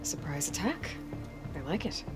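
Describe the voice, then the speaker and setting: A woman's voice: low-pitched, big pitch swings, measured pace, rough recording. An actress playing a blunt, determined young woman adventurer in a video game, with a direct, wry delivery. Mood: skeptical. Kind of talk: video game cutscene acting. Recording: loud music behind.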